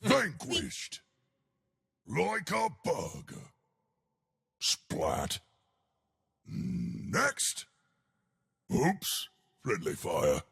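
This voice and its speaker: A man voicing a huge, boastful, simple-minded stone giant from a video game, eager for a fight, with a gravelly, husky voice and a slow, hammy delivery. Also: a little high, huge pitch swings, a bit bright, heavily acted. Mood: triumphant. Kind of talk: video game character voice lines.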